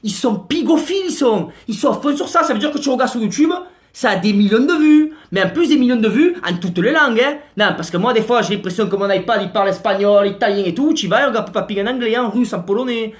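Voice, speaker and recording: A man's voice: very high voice, quick pace, few pauses. A man doing a slangy, exasperated comic rant to camera. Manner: slangy, exasperated, mock-outraged. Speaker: comic vlogger.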